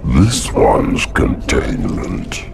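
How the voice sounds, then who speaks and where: An actor playing a vast, ancient, monstrous parasite mind in a game, brooding and commanding, with a gravelly growl and grandiose pronouncements. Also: male voice, big pitch swings, loud music behind the voice, heavily acted. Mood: grave warning. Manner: grandiose, slow rhyming pronouncements. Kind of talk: video game cutscene acting. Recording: heavy rumbling noise throughout.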